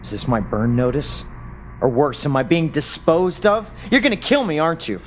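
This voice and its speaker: An actor in an audio play as a bewildered, sarcastic secret agent who talks in an agitated, demanding way. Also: male voice, a little high, sing-song, moderate pace, faint music behind. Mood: bitter, suspicious. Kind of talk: audio drama acting.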